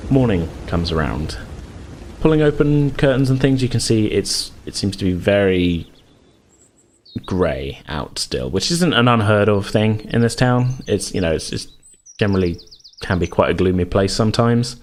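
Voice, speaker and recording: Low-voiced man running a tabletop game. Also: lively intonation, moderate pace. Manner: conversational, improvised, hesitant hedging. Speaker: game master. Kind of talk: narrating a tabletop roleplaying game. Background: background music.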